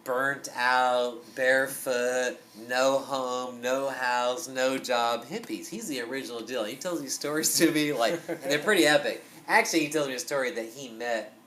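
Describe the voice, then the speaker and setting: A young man hosting a podcast in a small basement room, talking in an animated, rambling way with lots of fillers and swearing, picked up by a camera mic across a table. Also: medium pitch, normal speed, hardly any pauses, a little hiss or hum. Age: young adult. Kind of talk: casual chat.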